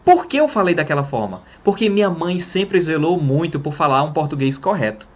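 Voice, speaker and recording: A man's voice: a little high, fairly quick, dull audio. A young man from Brazil giving a casual English lesson to camera, talking with his hands and stressing his points as he goes. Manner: lively, talks with his hands. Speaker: English teacher. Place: small room in front of a printed wall.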